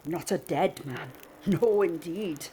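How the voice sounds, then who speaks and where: An actress playing a sly, agreeable thieving laundress in an audio drama. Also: female voice, on the low side, slow, dark tone, slight background noise. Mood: sly, agreeing.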